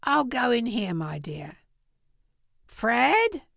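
Elderly storybook gentleman played by a woman, gruff turned giddy, emphatic, creaky and swooping. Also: on the high side, huge pitch swings, very slow, no room sound, clean studio sound, exaggerated voice. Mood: kindly. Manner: exuberant, emphatic, theatrical.

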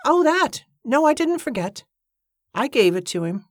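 A woman's voice: sits high, very animated, a little slow, no echo, clean studio sound, voice pushed up. A woman voicing a stooped, simple-minded working man who talks in rustic dialect with wide-eyed innocence. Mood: innocent.

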